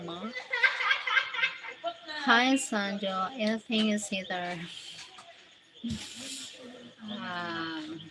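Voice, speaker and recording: A Filipino woman in her forties, chatting casually on a live stream, greeting viewers and thanking them one by one. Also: mid-range voice. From Philippines. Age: 40s. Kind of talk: casual live chat, reading out viewer comments. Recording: loud background noise.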